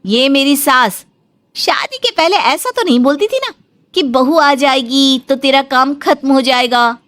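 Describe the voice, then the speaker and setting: A woman's voice: high voice, lively intonation, unhurried, a bit bright. A woman voicing a blunt, bossy young daughter-in-law in a comic family story. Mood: bossy, mocking. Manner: sassy, blunt.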